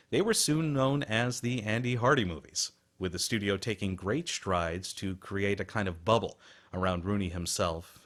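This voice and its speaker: A male radio host delivers scripted documentary narration. His voice sits low. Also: a bit bright.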